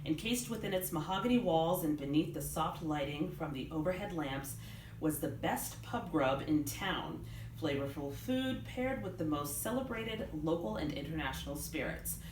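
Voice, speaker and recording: A woman author giving an expressive live reading of her novel to a room. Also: deep voice, moderate pace. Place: indoors in a bookstore. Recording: roomy live event, background noise. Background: room ambience, clear background noise.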